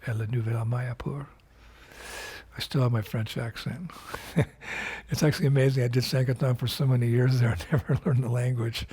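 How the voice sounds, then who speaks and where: An older man, a spiritual teacher, recording a video message in an unhurried, reflective way, pausing often with fillers and restarts. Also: medium pitch, fairly even intonation, mellow, husky.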